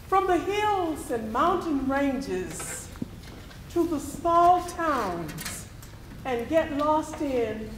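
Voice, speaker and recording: A woman delivering scripted theatrical narration as a stage narrator, solemn and declamatory, with deliberate phrasing. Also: sits high. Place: large hall, live stage performance. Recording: echoey hall, faint noise.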